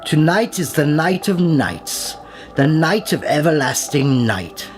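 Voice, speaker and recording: An actor playing a pompous aristocratic cult leader in a radio play, theatrical, old-fashioned and genially menacing. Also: male voice, high-pitched. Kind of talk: period radio play acting.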